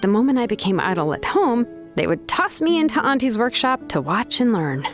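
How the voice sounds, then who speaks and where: A woman's voice: fairly high pitch, sing-song, brisk, faint music behind. An actress playing a laid-back, caring young craftswoman in a video game, talking casually and modestly. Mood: nostalgic. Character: laid-back, caring young woman, tribe's name engraver.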